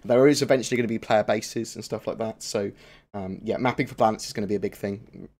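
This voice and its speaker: A man's voice: on the low side, fast, darkish tone. A young man chatting casually on a live stream, answering viewer questions with lots of fillers, restarts and swearing.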